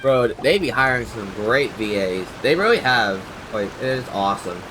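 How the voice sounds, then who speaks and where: A man's voice: fairly low pitch, expressive, normal speed, background noise. A young man chatting casually and slangily as he streams a game, rambling from thought to thought. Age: young adult. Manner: rambling, slangy, jokey self-deprecation. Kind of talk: casual livestream chat while playing. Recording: game music behind the voice. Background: video game music and dialogue.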